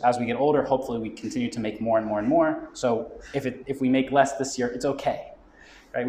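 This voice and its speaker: A mid-pitched man talks through his ideas in a relaxed, explanatory way, tagging his points with "right?". He sounds like a guest expert at a live event. The sound is roomy, with a faint hum of ambience behind him. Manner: conversational, explanatory, checks in with frequent "right?". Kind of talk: live talk explaining business goal setting.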